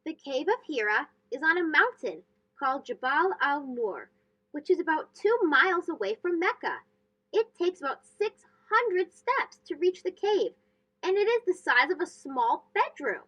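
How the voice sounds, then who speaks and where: High-pitched, animated woman reading to children. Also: normal speed, lo-fi sound. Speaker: storybook reader, host. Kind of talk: expressive children's read-aloud narration.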